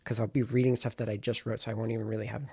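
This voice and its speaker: A man talking casually to camera in a vlog, rambling and thinking aloud in a self-reflective way, with a gravelly, husky voice. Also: on the low side, level tone, very fast, mellow, no echo. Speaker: writing vlogger and novelist.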